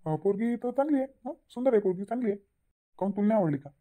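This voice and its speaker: A man voicing a middle-aged village father in a cartoon, quick and plain-spoken with a lilt. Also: sits high, lively intonation, dark tone, a little hiss or hum. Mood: calm. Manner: rapid, plain-spoken, repeats himself. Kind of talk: cartoon voice acting. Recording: tinny phone-like sound.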